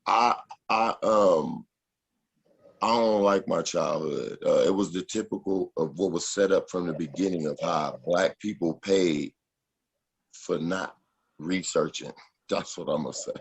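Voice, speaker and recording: A man in his forties, a guest on an interview show, telling stories about his childhood with animated storytelling. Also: low voice, unhurried, vocal fry, husky. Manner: animated storytelling with acted quotes.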